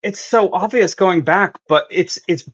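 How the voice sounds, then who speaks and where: A man chatting casually as a guest, talking in halting, restarted phrases. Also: high-pitched, a bit bright, husky. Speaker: guest on a fan discussion show. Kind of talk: casual chat. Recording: slightly dull lo-fi audio.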